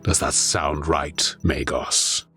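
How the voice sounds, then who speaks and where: A man playing a gruff, weary veteran war commander in a sci-fi audio story, clipped, sardonic and contemptuous. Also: very deep voice, steady tone, takes it slowly, sharp tone, soft background music, full character voice. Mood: bitter, impatient.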